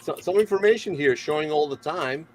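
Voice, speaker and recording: Man, fairly high voice, halting good-humoured hobbyist chat, faint background noise. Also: animated, a little mellow. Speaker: hobbyist guest on the stream. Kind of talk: casual show-and-tell chat. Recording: faint noise, room ambience.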